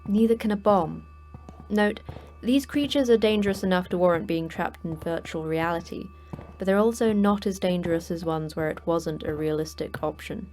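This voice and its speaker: An actress playing the terse, dry manager of a secret prison for monsters in a sci-fi horror audio drama, speaking in a clipped, matter-of-fact way. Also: female voice, lowish voice, moderate pace, a little mellow, faint music behind, close to an everyday voice. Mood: dry, grim.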